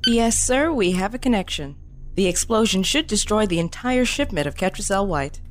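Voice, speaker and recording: A woman's voice: mid-range voice, animated, moderate pace, background noise, close to an everyday voice. A woman playing an eager, dutiful young military lieutenant in a science fiction audio drama. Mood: eager, crisp. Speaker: actor in a fan audio drama. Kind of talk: fan audio drama acting.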